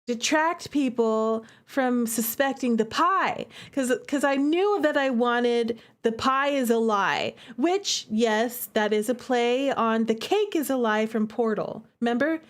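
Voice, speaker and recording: Female game streamer, high voice, chatty and theatrical, talking straight to her audience. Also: slow pace. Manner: animated, theatrical, acts out reactions; conversational asides to chat. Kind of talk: casual livestream commentary.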